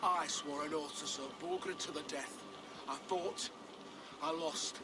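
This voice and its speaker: An actor playing a stoic, loyal medieval warrior resigned to death in a video game, terse and grim. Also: male voice, fairly high pitch, steady tone, unhurried, background noise, natural voice. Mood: grim defiance. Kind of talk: dramatic video game acting.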